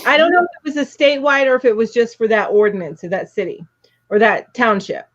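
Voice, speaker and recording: A fairly high-voiced woman chats casually on a live video call, laughing easily and greeting viewers by name. The audio sounds dull and lo-fi, like a compressed stream. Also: fast talker. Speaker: co-host of a live crochet show. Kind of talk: casual chat on a livestream.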